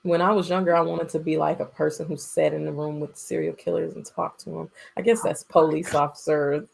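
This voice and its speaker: A woman's voice: sits low, little pitch movement, a little fast, creaky, darkish tone, breathy edge, rough recording. A woman co-hosting a podcast in casual chat, speaking emphatically and repeating phrases for effect. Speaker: podcast co-host.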